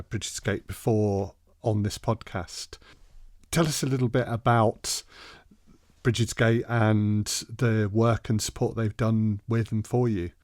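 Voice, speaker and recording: A man's voice: lowish voice, a little mellow, no echo, clean studio sound. A man hosting a podcast, asking questions in a conversational interview style.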